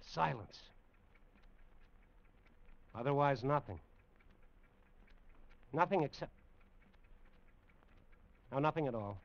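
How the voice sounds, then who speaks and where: An actor playing a lone test pilot, awed and straining, in a halting, dramatic old-time radio monologue. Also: male voice, medium pitch, expressive, creaky. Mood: hushed awe. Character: male test pilot alone in a rocket plane, awed, straining. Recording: dull, lo-fi vintage radio recording.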